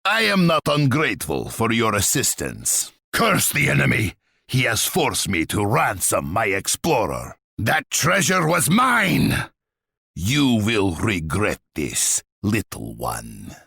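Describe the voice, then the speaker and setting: An imperious, scheming tsar from a strategy game, full of menace and sardonic pomp. The voice is gravelly with a hard, forceful edge, and it swings wildly in pitch as he savours each taunt. The recording is close and dry. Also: wildly swooping pitch, takes it slowly, dry recording, heavily acted. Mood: smug, imperious, then wrathful, menacing. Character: scheming, imperious, wrathful Russian tsar, gloating warlord. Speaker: video game voice actor.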